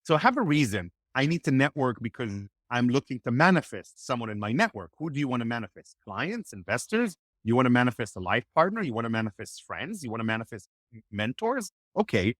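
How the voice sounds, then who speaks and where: A man whose first language is Hebrew, giving energetic, motivational networking advice as a podcast guest. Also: medium pitch, fairly quick, no room sound, clean studio sound. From Israel. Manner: energetic, motivational, acts out quoted dialogue. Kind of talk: animated podcast interview.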